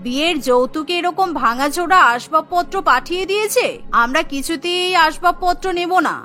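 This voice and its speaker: A woman's voice: very high-pitched, brisk, sharp tone, faint music behind. A woman voicing an angry, haughty older mother-in-law in a cartoon, scolding and indignant. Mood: outraged.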